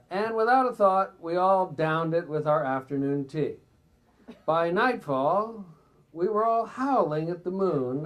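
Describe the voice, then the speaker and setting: A man reading excerpts from a memoir aloud at a live reading, sometimes stumbling and stepping out of the text with asides. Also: high-pitched. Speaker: reader at a bookstore event.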